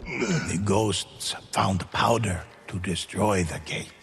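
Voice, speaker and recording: A man narrating video game cutscenes in a grave, measured scripted delivery. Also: deep voice, steady tone, background noise. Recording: narration over loud music.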